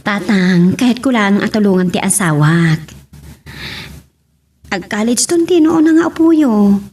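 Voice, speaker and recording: A woman's voice: mid-range voice, lively intonation, measured pace, a little mellow, husky. A woman playing an earnest married mother set on working abroad, in an audio drama. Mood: earnest, reassuring. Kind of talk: amateur radio-style drama acting.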